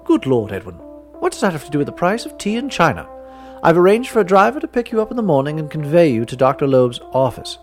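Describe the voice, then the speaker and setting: A man voicing a formidable, imperious older female secretary who scolds briskly in a high, put-on voice. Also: big pitch swings, faint music behind.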